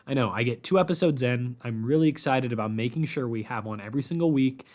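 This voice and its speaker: A man hosting a podcast in a casual, chatty, informal way with animated emphasis. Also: mid-range voice, fast. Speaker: podcast host.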